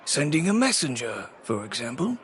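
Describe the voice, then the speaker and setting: Genteel, lilting wry merchant. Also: male voice, medium pitch, very animated, normal speed, a bit bright, slight background noise. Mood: wry, composed. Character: genteel, measured male business supplier, mildly wry. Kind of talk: video game voice acting.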